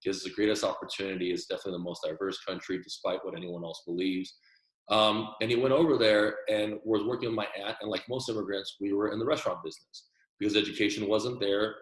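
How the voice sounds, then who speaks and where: A man's voice: fairly low pitch, steady tone, fast, echoey room, low-quality recording. A man born in Taiwan, a podcast guest, telling his story earnestly in a casual interview and often repeating words as he starts sentences.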